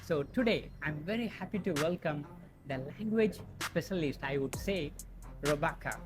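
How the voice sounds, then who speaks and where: A woman's voice: low-pitched, animated, normal speed. A woman hosting a podcast interview, asking questions and answering with short acknowledgements. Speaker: podcast host. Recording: music in the background.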